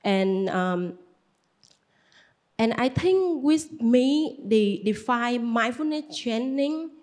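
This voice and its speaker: A woman who is not a native English speaker, giving a halting personal testimony at a Buddhist gathering. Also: a little high, expressive, a little mellow. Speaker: participant sharing at a Buddhist gathering.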